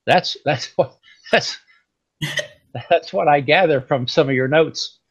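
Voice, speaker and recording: A man hosting a podcast, talking in a relaxed, folksy, rambling way. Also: a little high, slow pace, husky. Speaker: podcast host. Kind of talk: casual interview chat. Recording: slightly dull lo-fi sound.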